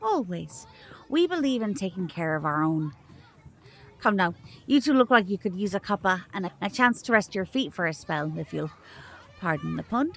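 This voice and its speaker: A woman acting a protective magician mother in an audio drama, polite and measured, with a suspicious edge. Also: medium pitch, sing-song, gravelly, fairly bright tone. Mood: gracious, probing.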